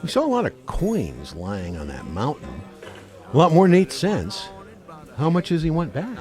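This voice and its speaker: A man's voice: a little high, wildly swooping pitch, normal speed, music in the background. A man playing a wisecracking, skeptical hunter in a radio play, mocking and sarcastic. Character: wisecracking skeptical hunter teasing his friend.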